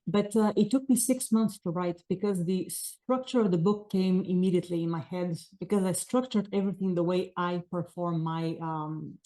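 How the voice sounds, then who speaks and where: A woman's voice: on the low side, dark tone. A woman in her forties from Bulgaria, speaking with a Bulgarian accent as an author answering interview questions in earnest, flowing sentences. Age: 40s. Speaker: author guest.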